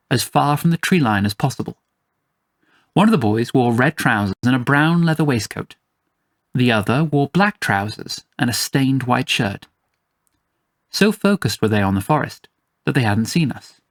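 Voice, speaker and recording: A male storyteller reads a scripted fantasy tale in the first person. His pitch sits in the middle range for a man. The recording is dry and free of room echo. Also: animated, moderate pace. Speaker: storyteller, narrator.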